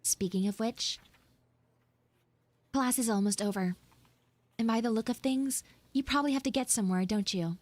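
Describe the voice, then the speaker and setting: Woman playing a flustered, high-voiced tsundere schoolgirl. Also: normal speed, long pauses, dry recording, natural voice. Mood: reluctant, coy. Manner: hesitant, flustered, coyly defensive. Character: flustered tsundere schoolgirl obsessed with frogs, shyly smitten. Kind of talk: improvised live audio roleplay.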